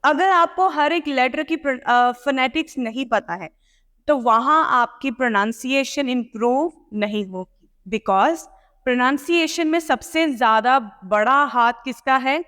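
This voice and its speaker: A woman with an Indian accent teaching pronunciation in a didactic way, enunciating deliberately as she explains and demonstrates. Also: high voice, fairly bright tone. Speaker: English pronunciation and test-prep teacher. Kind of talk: instructional lesson mixing explanation and read-aloud demonstration. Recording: echoey, reverberant room.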